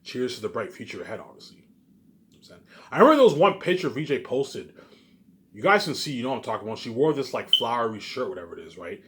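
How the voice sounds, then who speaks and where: Guy talking really quick in a casual vlog ramble, cocky, repeats his catchphrases, swears a lot. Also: mid-range voice, sing-song, a bit bright, slight background noise. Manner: boastful, repetitive, with frequent catchphrases; emphatic, swearing freely. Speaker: online video creator.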